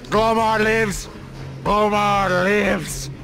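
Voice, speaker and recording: An actor playing a belligerent, fanatical drunk miner in a video game, ranting in a slurred manner. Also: male voice, high-pitched, steady tone, extremely slow, sharp tone, full character voice. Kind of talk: video game cutscene acting.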